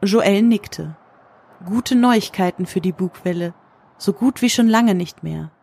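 A woman's voice: mid-range voice, a little slow, creaky, a little mellow, slightly rough. A woman narrator delivering scripted prose for an audio drama.

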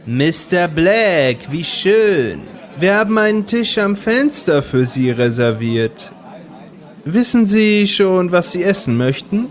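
A man's voice: high-pitched, big pitch swings, exaggerated voice. A man playing a courteous, formal and obsequious waiter in an audio drama. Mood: obliging. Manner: formal, drawn-out politeness. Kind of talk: German audio drama dialogue.

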